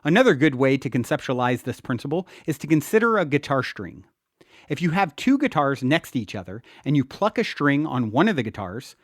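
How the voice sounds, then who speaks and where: A man reading his own self-help audiobook in an earnest, deliberate, instructional way. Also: on the high side, no echo, clean studio sound.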